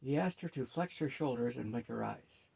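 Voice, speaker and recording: A man's voice: mid-range voice, expressive, normal speed, gravelly, dark tone, slightly rough, dull audio. A man reading a fantasy story aloud as scripted narration.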